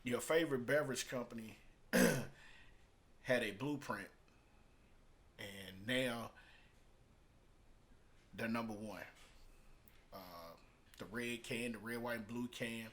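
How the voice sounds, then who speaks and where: A man's voice: mid-range voice, fairly even intonation, slow pace, pauses often, fairly bright tone. A man talking casually and off the cuff as he reviews and explains, in an emphatic, repetitive, colloquial way. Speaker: vlogger reviewing a business book.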